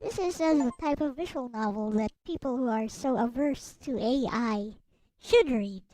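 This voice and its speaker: A woman's voice: fairly high pitch, a little slow, warm tone, low-quality recording. A woman giving casual, musing livestream commentary on a visual novel, rambling as if to herself. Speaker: streamer playing a visual novel. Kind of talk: casual livestream commentary with bits read aloud. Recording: clear background noise.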